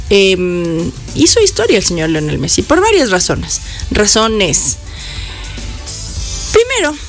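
A middle-aged woman hosting a morning radio show in casual chat, talking playfully and straight to her listeners. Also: fairly high pitch. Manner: chatty, playful, addresses listeners directly. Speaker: radio host. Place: radio studio. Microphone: studio microphone.

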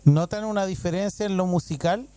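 A man, asking relaxed, curious interview questions. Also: high-pitched, a little fast, no echo, soft background music.

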